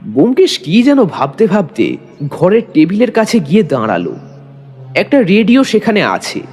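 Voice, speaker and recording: A man's voice: high-pitched, huge pitch swings, moderate pace, a little mellow, soft background music. A man narrating a story as dramatic scripted audio.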